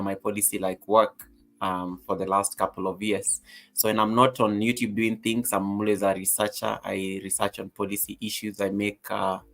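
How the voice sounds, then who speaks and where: Low-pitched Kenyan man chatting on livestream. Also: fairly bright tone. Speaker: guest on a livestream panel, content creator. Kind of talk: casual self-introduction on a livestream.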